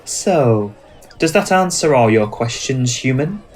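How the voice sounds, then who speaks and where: Courtly, passionate vampire gentleman acted by a man. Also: mid-range voice, animated, slow pace. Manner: formal, poetic, theatrical phrasing. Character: courtly, passionate, dominant old-world male vampire. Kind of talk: ASMR roleplay acting.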